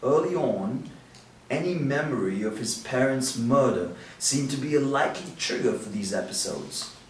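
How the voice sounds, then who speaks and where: A man reading the part of a reserved male doctor at a table read, explaining his case in a steady way. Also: medium pitch, moderate pace, close to an everyday voice. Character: reserved male doctor who mixes his own drugs. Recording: roomy echo, distant mic, faint hiss.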